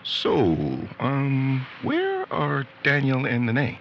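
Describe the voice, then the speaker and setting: A man's voice: mid-range voice, wildly swooping pitch, drawn-out words, gravelly, full character voice. A man acting an awkward, wistful young boyfriend in a naturalistic audio drama, talking hesitantly. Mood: awkward, casual. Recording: dull lo-fi audio with faint background noise.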